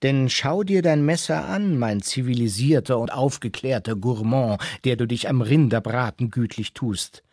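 An actor playing a wry, learned seafaring storyteller, lecturing the listener in a literary radio drama. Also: male voice, mid-range voice, normal speed, hardly any pauses, darkish tone, no room sound, clean studio sound, lightly acted. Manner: mock-scholarly, addresses listeners, rhetorical.

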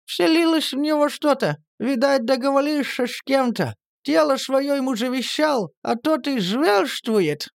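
A storyteller voicing a pale, frightened village girl who lisps and chatters fast. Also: high-pitched, animated, creaky, dry recording, clean studio sound, pitched above the performer's own voice, full character voice. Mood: grim. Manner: lisping, mumbling, rapid chatter.

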